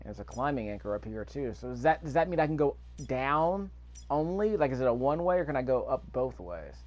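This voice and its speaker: A man's voice: mid-range voice, big pitch swings, a little fast, darkish tone. Man commentating casually over gameplay. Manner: musing aloud, repeats phrases. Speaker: gaming video host. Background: faint video game ambience.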